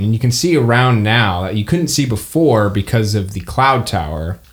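A man improvising narration as game master, conversational and halting. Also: medium pitch.

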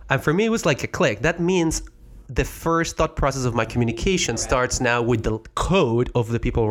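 A man's voice: mid-range voice, a bit bright. Man hosting, reflective. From Mexico. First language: Spanish. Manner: reflective, halting restarts. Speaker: podcast host. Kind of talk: conversational interview.

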